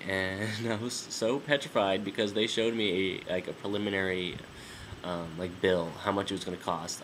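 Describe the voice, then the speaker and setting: Young man, low voice, nasal, sinus-stuffed, casual rambling home vlog, lots of ums. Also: fairly bright tone, a little hiss or hum, poor audio. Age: 20s. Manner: rambling, many ums and restarts. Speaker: vlogger. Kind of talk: casual personal update vlog.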